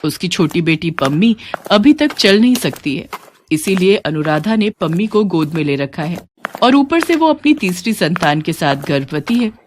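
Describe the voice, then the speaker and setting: A woman's voice: lowish voice, lively intonation, moderate pace, a little hiss or hum. A woman reading a scripted moral story as its narrator.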